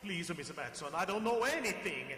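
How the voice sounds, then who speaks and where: A man's voice: high-pitched, big pitch swings, fairly quick, bright, forceful tone, heavily acted. An actor playing a nervous, evasive restaurant man, stammering and deferential, in an old-time radio drama.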